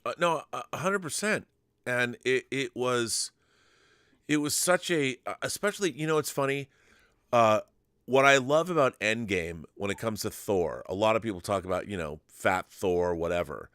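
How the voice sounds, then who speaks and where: A man hosting a casual film discussion, talking in a halting way with repeated and rephrased starts. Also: mid-range voice, animated, a bit bright, clear, resonant tone, dry recording, studio quality.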